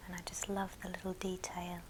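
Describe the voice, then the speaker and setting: A woman whispering gently and breathily in an unhurried ASMR show-and-tell style. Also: on the low side, completely flat delivery, background noise. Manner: gentle, unhurried, soft-spoken. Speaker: ASMR video creator.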